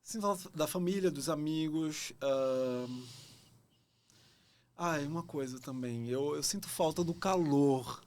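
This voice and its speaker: A man's voice: fairly high pitch, measured pace, a little mellow. A young adult man with a Pernambuco accent, an actor guest talking reflectively and earnestly with plenty of fillers. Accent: Pernambuco Portuguese. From Pernambuco, Brazil. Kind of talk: casual interview talk.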